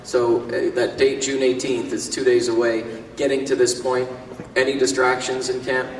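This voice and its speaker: A man's voice: mid-range voice, completely flat delivery, normal speed, slight background noise. A man moderating a press conference, asking fighters and promoters questions with easy fillers. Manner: smooth, leading questions with fillers. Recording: echoey hall, far from mic.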